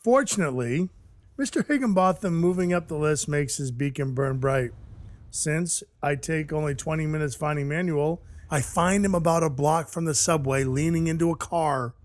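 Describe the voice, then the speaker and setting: A man playing a cynical, hard-bitten Icelandic dwarf who works for Santa, narrating an audio drama in a wry, deadpan, formal way. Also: fairly high pitch, moderate pace, lightly acted. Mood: wry, brooding. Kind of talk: noir-like first-person audio drama narration and dialogue. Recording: music behind the voice.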